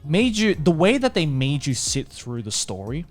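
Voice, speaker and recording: A man's voice: fairly high pitch, sing-song, moderate pace, faint music behind. A man co-hosting a podcast in casual chat, explaining things at length with emphasis. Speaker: podcast co-host.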